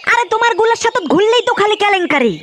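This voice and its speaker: A performer voicing a bossy, foul-mouthed young man in a comedy cartoon, with a North Bengal accent and a shrill voice. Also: lively intonation, racing, a bit bright. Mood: scolding. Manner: foul-mouthed, scolding, rustic. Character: Tepu, bossy scolding older-brother friend, young man.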